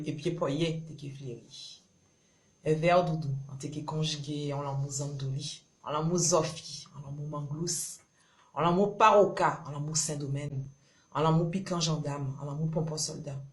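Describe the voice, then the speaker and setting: A woman from Guadeloupe with a Creole accent, performing spoken-word love poetry in short, rhythmic, incantatory phrases. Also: sits low. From Marie-Galante, Guadeloupe. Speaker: poet.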